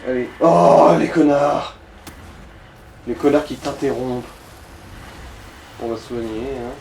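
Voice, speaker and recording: A man's voice: mid-range voice, takes it slowly, vocal fry, echoey room, noisy background. A man giving casual, coarse and slangy gaming commentary as he guides another player. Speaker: let's play co-host guiding the player.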